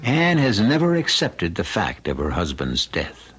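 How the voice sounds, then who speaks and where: A man's voice: low voice, wildly swooping pitch, unhurried, full character voice. A man playing the wry, suspenseful host of an old-time radio mystery, narrating with theatrical, insinuating phrasing. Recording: muffled vintage radio recording, music behind.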